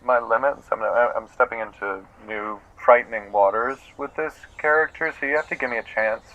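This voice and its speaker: An actor playing an eager, earnest out-of-work actor in a comedic audio drama, excitable and halting, taking himself very seriously. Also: male voice, mid-range voice, highs cut off. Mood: flustered, earnest. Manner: excitable, halting, self-serious about acting.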